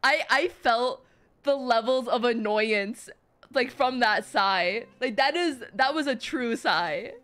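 A woman game streamer giving casual, rambling live commentary in an excitable, giggly way, on a close mic. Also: on the high side, slow pace, faint music behind.

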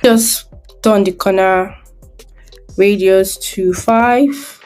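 A woman's voice: medium pitch, fairly even intonation, extremely slow, a little mellow, faint music behind. A woman giving a screen-recorded design tutorial, thinking aloud step by step as she works.

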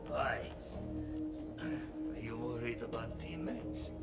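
A stern older male commander in a game. He talks slowly and deliberately at a middle pitch, somewhat distant beneath very loud music. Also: very animated. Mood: suspicious. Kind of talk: video game cutscene acting.

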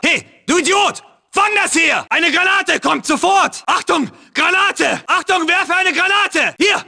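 Fierce, high-pitched German soldier barking. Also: male voice, expressive, measured pace, gravelly, pushed and bright, heavily acted. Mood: hostile, taunting. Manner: urgent, barking. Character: fierce, hostile German WWII infantry soldier. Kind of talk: video game battle callouts.

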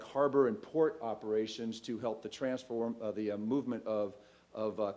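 Man pitching informally on stage. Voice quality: loud voice. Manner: conversational, emphatic, addresses the audience directly. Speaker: startup CEO and co-founder presenting. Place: auditorium stage. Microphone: microphone on a lectern stand.

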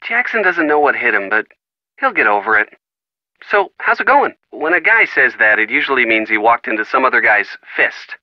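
An actor playing an affable, wry young American boyfriend in a video game, with a conversational delivery. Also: male voice, medium pitch, sing-song, normal speed, no room sound, dull audio, lightly acted. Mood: wry, reassuring. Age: young adult. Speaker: boyfriend on the phone.

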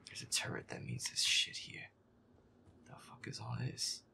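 A man playing a feisty, grumbling werewolf boyfriend, muttering sweary, exasperated commentary over a scary video game in a breathy, gravelly voice. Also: unhurried, whispered, close to an everyday voice. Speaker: gameplay performer. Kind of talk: hushed in-character gameplay commentary.

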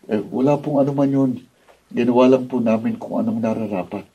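A man's voice: medium pitch, fairly even intonation, fairly quick, husky, highs cut off, natural voice. A Filipino man acting an earnest young son in love in a radio drama. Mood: polite. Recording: distant studio camera mic.

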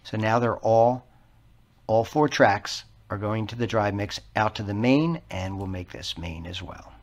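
A man's voice: on the low side, unhurried. A man narrating an instructional tutorial as its host, explaining things step by step in a plainspoken way.

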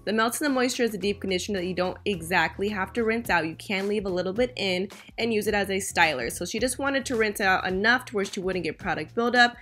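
A woman's voice: mid-range voice, fast talker, few pauses, a bit bright. A woman vlogger narrating a salon visit in a casual, explanatory voice-over.

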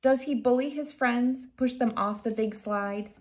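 Slow-paced woman reading to children. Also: fairly high pitch. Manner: expressive storytelling for young children. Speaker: children's book reader and host. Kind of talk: children's picture-book read-aloud. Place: living room at home, indoors.

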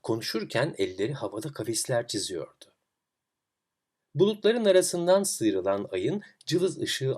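A man narrating a literary fairy tale in a theatrical, expressive way with deliberate phrasing. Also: medium pitch. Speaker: storyteller, host of a tale series.

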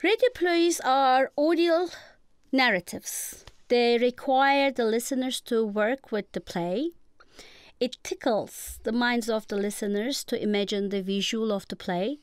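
Female professor, Turkish accent, formal radio lecture style, smooth clean tone, close dry sound. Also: on the high side, unhurried, no room sound. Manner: formal, academic. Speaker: professor, guest expert. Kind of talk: prepared lecture-like radio interview.

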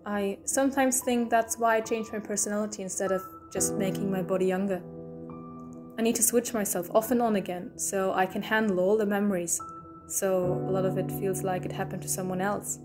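A woman's voice: medium pitch, fairly even intonation, fairly quick, music in the background, close to an everyday voice. A woman playing a quirky, talkative, self-doubting alien time traveller in an audio drama, rambling eccentrically. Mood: reflective. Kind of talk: fan audio drama acting.

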